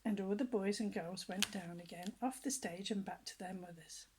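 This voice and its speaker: An older woman reading a children's story aloud with gentle, lively storytelling, often dropping to soft whispers and asking her young listeners questions. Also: medium pitch, moderate pace, slight background noise.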